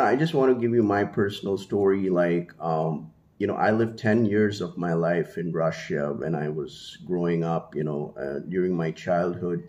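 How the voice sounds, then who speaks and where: Deep-voiced man rambling to camera. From India. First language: Hindi. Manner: rambling, many fillers and restarts; gestures with one hand, holding a small mic to his mouth. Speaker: vlogger. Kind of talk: casual talk to camera. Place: small room at home, indoors. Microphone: handheld mini mic held at the mouth.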